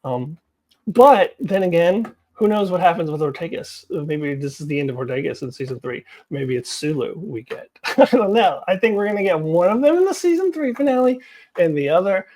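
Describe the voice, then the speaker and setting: A woman with a fairly low-pitched voice talks off the cuff as an online host. She is opinionated and emphatic, giving her commentary in a loose, conversational way. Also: sing-song, normal speed, warm tone. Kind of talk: casual unscripted commentary.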